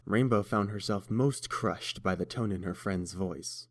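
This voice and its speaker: A man's voice: on the low side, a little slow, no echo, clean studio sound. A man reading scripted story narration as the narrator.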